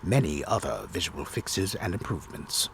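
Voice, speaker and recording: Man performing a very deep, theatrical storyteller. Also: very animated, creaky, a little hiss or hum, full character voice. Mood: grave, theatrical. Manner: solemn, theatrical, deliberate announcing. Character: disembodied male storyteller, grave and theatrical. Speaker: game storyteller and narrator. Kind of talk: dramatic reading of game patch notes.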